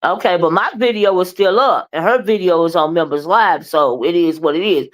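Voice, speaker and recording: A woman with a loud, boisterous voice, ranting bluntly in a live commentary and keeping her listeners in line with constant checks of "okay?" and "right?". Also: fairly low pitch, normal speed. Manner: blunt, profane, keeps asking "okay?" and "right?"; moody, confrontational.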